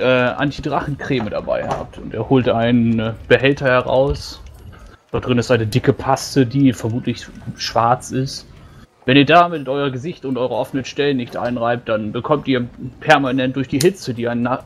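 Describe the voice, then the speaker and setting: A battle-hardened dragon slayer acted by a man lectures bluntly in a fantasy roleplaying game. The voice is medium in pitch and matter-of-fact, with faint ambient noise behind it. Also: close to an everyday voice. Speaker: player in a tabletop roleplaying game. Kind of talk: in-character improvised instruction. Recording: noisy recording with background ambience.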